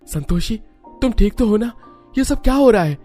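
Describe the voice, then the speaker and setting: A man's voice: sits high, lively intonation, normal speed, dark tone, faint music behind, voice pushed up. A man voicing an earnest, agitated young village husband in a cartoon story. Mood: worried, scolding. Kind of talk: cartoon story dialogue acting.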